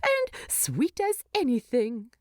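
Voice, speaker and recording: A fussy little storybook hobbit gentleman, voiced by a woman, proud and chatty. He explains things primly and earnestly, with a pitch that leaps about in a dry, close recording. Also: wildly swooping pitch, slow pace, no room sound, exaggerated voice. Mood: cheerful, chatty.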